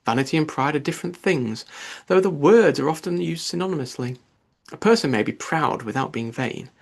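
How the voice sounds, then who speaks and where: A man's voice: on the high side, lively intonation, a little fast, creaky. A man voicing a bookish, self-important young woman in an audiobook, lecturing in a pompous manner. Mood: pedantic. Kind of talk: audiobook character dialogue.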